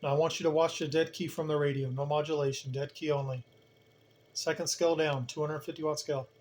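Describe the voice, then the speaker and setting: A man's voice: fairly high pitch, flat delivery, fast, a little mellow, a little hiss or hum. A man giving an unscripted hands-on equipment tutorial, plain-spoken and explaining step by step, repeating key points. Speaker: hobbyist demonstrator, channel host.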